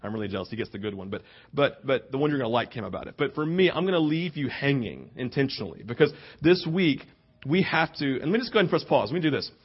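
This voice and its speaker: A man's voice: medium pitch, very animated, fast, husky, dull audio. A man preaching a conversational sermon, earnest and informal, with rhetorical questions, tag questions and bits of acted-out dialogue. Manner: acts out imagined dialogue informally.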